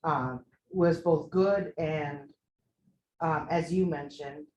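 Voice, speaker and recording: A nursing instructor giving measured, reflective feedback to a student, with thoughtful pauses. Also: mid-range voice, steady tone, a little mellow, muffled recording, slight background noise. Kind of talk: simulation debrief. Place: simulation room. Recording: echoey room with faint ambience.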